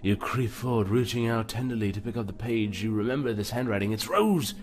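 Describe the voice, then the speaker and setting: Low-voiced man hosting a gaming video. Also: a little fast. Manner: informal, stumbles when reading aloud. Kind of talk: casual let's play commentary and reading aloud. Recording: music behind the voice.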